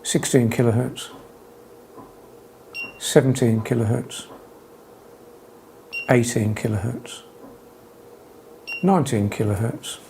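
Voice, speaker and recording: A man calls out test readings in a measured, matter-of-fact manner. His delivery is very slow and his mid-pitched voice has a lot of gravelly fry. Faint noise sits behind him. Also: dark tone. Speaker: hobbyist demonstrator. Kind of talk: spoken demonstration, calling out test readings.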